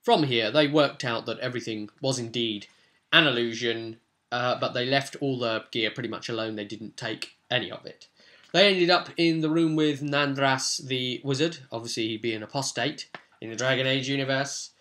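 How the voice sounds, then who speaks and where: Bright-voiced chatty man recapping a game. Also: medium pitch, fairly even intonation, moderate pace. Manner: chatty, enthusiastic, informal slangy asides. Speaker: game master recapping his tabletop role-play session. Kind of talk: casual vlog recap, informal rambling.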